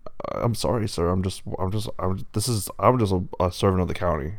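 A man's voice: low-pitched, a little fast, no room sound, clean studio sound. A man voicing a tired storybook wolf who works as a county official, hesitant, polite and officious. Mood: apologetic. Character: apologetic male wolf, tired county bureaucrat in suit.

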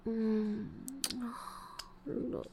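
Slow, husky whispering woman. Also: a little high, flat delivery, highs cut off, a little hiss or hum. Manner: breathy teasing whisper with soft laughs; sprinkles in French endearments. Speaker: audio roleplay performer. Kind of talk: intimate whispered roleplay.

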